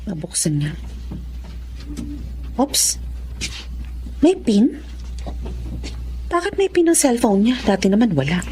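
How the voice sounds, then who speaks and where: A woman's voice: fairly high pitch, big pitch swings, slow pace, long pauses, dark tone, slight background noise. A woman playing a suspicious, hurt young wife and mother in an emotional Filipino radio drama. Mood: furtive.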